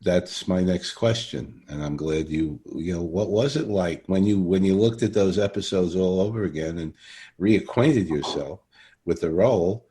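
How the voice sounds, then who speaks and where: A man hosting a podcast interview in an effusive, halting way, rephrasing his questions as he goes. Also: low voice, dark tone. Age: middle-aged or older. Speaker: podcast host interviewing an actor. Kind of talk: enthusiastic, conversational interviewing.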